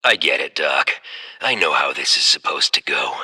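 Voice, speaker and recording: An actor playing a deranged serial killer in a video game, raspy, mocking and theatrically menacing. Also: male voice, extremely low pitch, lively intonation, moderate pace, bright, forceful tone, exaggerated voice. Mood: sardonic. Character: deranged male serial killer recounting his childhood to a doctor.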